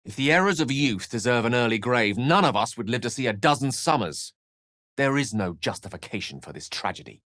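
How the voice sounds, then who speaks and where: A man's voice: medium pitch, animated, brisk, fairly bright tone, no echo, clean studio sound, close to an everyday voice. A man playing an eloquent, witty and self-assured wizard in a video game, with articulate, florid, wry delivery. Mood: grave, thoughtful. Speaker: game character voice actor. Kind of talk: theatrical video game character dialogue.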